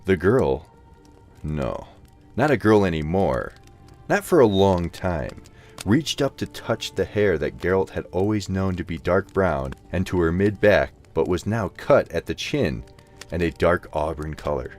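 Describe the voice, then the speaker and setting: A man narrating a scripted fantasy story as an expressive audio drama narrator. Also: deep voice, unhurried, faint music behind.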